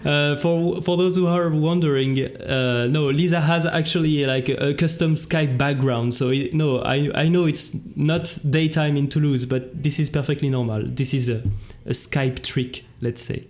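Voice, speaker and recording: A man's voice: a little high, steady tone, moderate pace, few pauses, darkish tone. Man hosting, hesitant and repetitive. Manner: hesitant, repeats words and phrases. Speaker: show host. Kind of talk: casual livestream chat, introducing guests.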